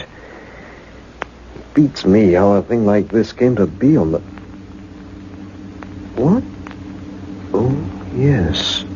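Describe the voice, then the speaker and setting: An actor playing a young, practical male technician in a sci-fi radio play. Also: lowish voice. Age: young adult. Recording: dull radio recording with background noise.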